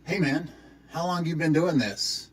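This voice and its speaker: Man playing a low-key, creepy massage client. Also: a little slow, slightly rough. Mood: relaxed. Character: laid-back, creepy male massage client. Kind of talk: comedy skit dialogue.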